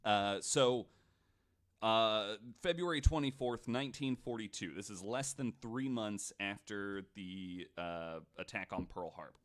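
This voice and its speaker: A man with a low voice hosts a casual podcast. He tells a story conversationally, in loose, informal phrasing full of "like", as if among friends. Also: measured pace, fairly bright tone. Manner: informal, hedging, frequent "like". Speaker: podcast host telling a true story.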